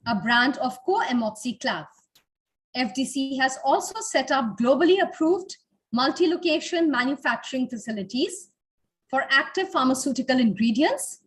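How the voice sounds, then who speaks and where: Woman with a pretty high voice doing a formal scripted welcome as webinar host. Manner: formal, ceremonious.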